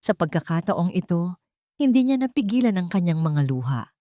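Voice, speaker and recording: A woman's voice: fairly low pitch, big pitch swings, normal speed, dark tone, no echo, clean studio sound. A woman with a Filipino accent reading a dramatic scripted story as its narrator.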